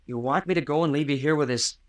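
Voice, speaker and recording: A man's voice: fairly high pitch, fast talker, lightly acted. An actor playing an earnest, protective young American man with an insistent manner, in 1940s radio drama style. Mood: protesting. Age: young adult. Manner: urgent, insistent.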